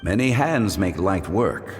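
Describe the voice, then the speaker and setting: A man playing a composed, scholarly gentleman in a video game, speaking formally and courteously in measured phrases. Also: sits low, lively intonation. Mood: polite, musing. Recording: long reverb, music behind.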